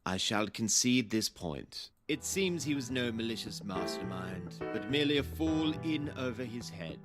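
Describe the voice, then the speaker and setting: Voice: mid-range voice, lively intonation, moderate pace, close to an everyday voice. An actor playing a haughty, theatrical prosecutor in a visual novel, with pompous, florid and contemptuous speech. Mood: grudging, haughty. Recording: loud music over the voice.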